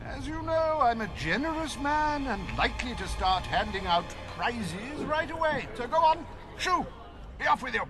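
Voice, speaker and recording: A British actor playing a gleefully cruel, dandyish factory tyrant in a game cutscene, with a theatrical, mocking drawl. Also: male voice, very high-pitched, animated, normal speed, over music. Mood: airy dismissal. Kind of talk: video game cutscene acting.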